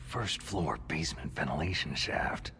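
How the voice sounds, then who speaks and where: An actor playing a gruff, wary infiltration agent in a video game, murmuring in a gravelly hush. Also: male voice, a bass voice, moderate pace, in a whisper, exaggerated voice. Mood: wary, puzzled.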